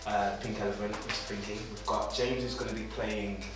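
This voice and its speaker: A man's voice: lowish voice, flat delivery, brisk, over music. A man giving a casual spoken introduction as host of a table read. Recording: very echoey room, far from mic.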